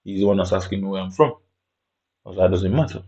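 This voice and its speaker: A man's voice: low-pitched, quick pace, mellow. A man telling a story in a casual monologue, in streetwise slang, swearing and tagging lines with "innit". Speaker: vlogger. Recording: dull lo-fi recording.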